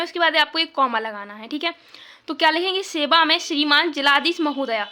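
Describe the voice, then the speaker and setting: High, bright-voiced Indian woman teaching. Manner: instructive, repeats phrases, frequent check-in tags. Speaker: teacher, tutorial host. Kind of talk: informal exam tutorial, explaining and dictating step by step.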